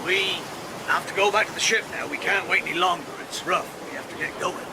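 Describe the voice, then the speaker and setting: High-pitched, blunt sailor. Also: male voice, fairly quick, creaky. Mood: uneasy, hesitant. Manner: barking orders, blunt, colloquial. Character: gruff, rough sailor bossing his crew. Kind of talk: period radio drama acting. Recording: loud outdoor sea and crew noise.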